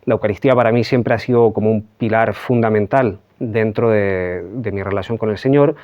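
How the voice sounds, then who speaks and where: Chilean priest, 30s, gentle and hesitant, fillers; slightly muffled audio. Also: male voice, on the low side, fairly even intonation. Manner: soft-spoken, halting, many fillers. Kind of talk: reflective interview testimony.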